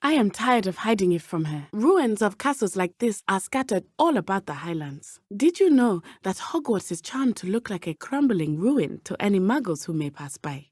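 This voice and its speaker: A young woman playing a brave, principled teenage witch student in a video game, with formal, measured phrasing. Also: mid-range voice, sing-song, darkish tone, dry recording, studio quality. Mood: calm, friendly.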